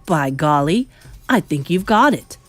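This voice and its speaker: A woman reading aloud, voicing a firm, calm elderly grandmother who speaks low and measured. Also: soft background music, full character voice. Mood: warm, brisk.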